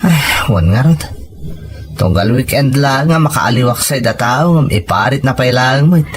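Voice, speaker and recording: A man plays a weary young Filipino boyfriend in an audio drama, confiding his troubles. His voice sits at an ordinary male pitch, and faint music plays behind him. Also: animated, unhurried, breathy edge. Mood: resigned. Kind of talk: Ilocano radio-drama style dialogue and first-person narration.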